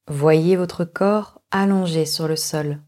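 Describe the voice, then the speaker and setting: A woman leading a guided relaxation meditation as a yoga nidra instructor, giving calm, measured instructions with long pauses. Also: deep voice.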